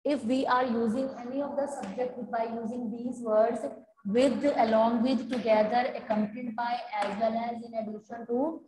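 High-voiced Indian English teacher, roomy classroom. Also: female voice, little pitch movement, normal speed, warm tone. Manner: repetitive, checks in with students often. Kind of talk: online grammar lesson at a chalkboard. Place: classroom in front of a chalkboard. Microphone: lapel mic.

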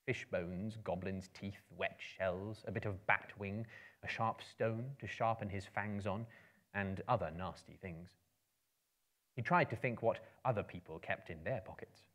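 A man in his thirties reading a story aloud dramatically, in a theatrical, expressive storyteller's manner. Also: on the low side, measured pace. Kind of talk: dramatic book reading to a live audience. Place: indoor room with bookshelves, small live audience.

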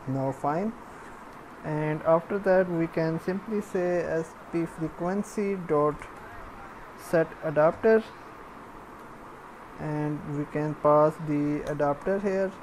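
Low-pitched woman dictating code slowly. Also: background noise. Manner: halting, piecemeal dictation of code. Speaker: programming tutorial instructor. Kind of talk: step-by-step screencast tutorial, spoken while typing.

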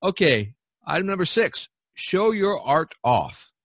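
A man's voice: sits high, big pitch swings, a little slow, fairly bright tone, no room sound, clean studio sound. A man hosting a casual podcast, giving advice in a rambling, folksy way full of "you know". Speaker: podcast host and painter. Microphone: video call recording.

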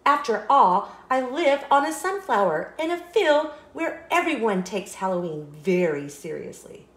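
Woman voicing a dramatic, grumpy little seed, high and swooping. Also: sing-song, measured pace, fairly bright tone, reverberant, heavily acted. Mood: grumpy, exasperated. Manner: dramatic, exclamatory storytelling. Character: grumpy, anxious, scheming male sunflower seed. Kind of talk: children's storybook read-aloud.

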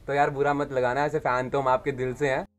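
A man's voice: medium pitch, level tone, a little fast. Young man vlogging, noisy recording. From India. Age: 20s. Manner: informal, playful, addresses viewers directly. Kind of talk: casual vlog chat to camera.

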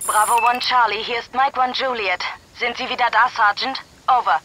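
Bright, clipped military radio operator. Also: female voice, a little high, steady tone, normal speed, slight background noise, poor audio. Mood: dry, official. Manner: clipped, formal, dry. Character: crisp, dry military radio operator at command. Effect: radio filter. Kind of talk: military radio dispatch in a game, German dub.

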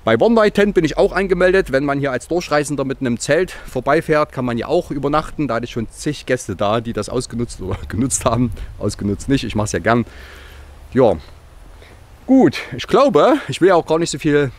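A man's voice: mid-range voice, animated, brisk. A man in his forties with a slight cold, chatting casually and rambling cheerfully to a handheld camera outdoors on a rural yard. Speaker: vlogger. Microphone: handheld camera at arm's length.

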